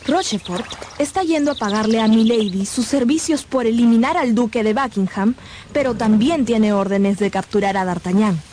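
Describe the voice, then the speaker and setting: A woman's voice: a little high, moderate pace, a little mellow, a little hiss or hum. A woman narrator delivering scripted narration for a radio drama. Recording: music behind the voice.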